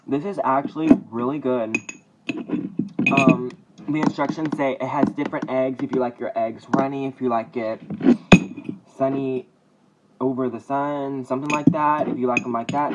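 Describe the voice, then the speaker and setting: A young man talks in an informal, playful way, like a home cook vlogging to friends. His voice sits at a middle pitch. The phone recording is very noisy, with clicks and clattering dishes in a kitchen. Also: steady tone, normal speed, dull audio. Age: young adult. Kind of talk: casual cooking vlog chat. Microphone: phone at arm's length.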